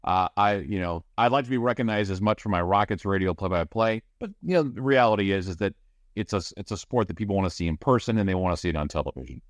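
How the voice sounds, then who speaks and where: A man's voice: low voice, lively intonation, quick pace, no echo. A middle-aged man, a radio play-by-play broadcaster, giving chatty, rambling interview answers with many restarts. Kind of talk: casual podcast interview answers.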